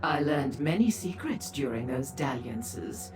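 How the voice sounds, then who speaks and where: A woman's voice: rumbling low, lively intonation, a little slow, music in the background. A woman voicing a sly, seductive and haughty female demon dealer in a fantasy video game, with a teasing manner. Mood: haughty, wry.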